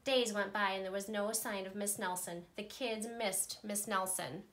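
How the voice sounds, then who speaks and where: A woman, a teacher, reading a children's picture book aloud with playful, expressive delivery. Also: medium pitch, normal speed. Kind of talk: storybook read-aloud.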